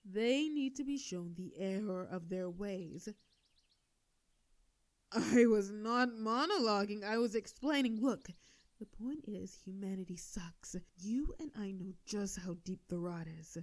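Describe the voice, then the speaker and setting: A woman playing a proud, sardonic female telepathic villain with a hidden soft side, in a theatrical scripted audio drama. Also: medium pitch, measured pace, no room sound, lightly acted. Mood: zealous, bitter. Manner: theatrical, indignant then soothing.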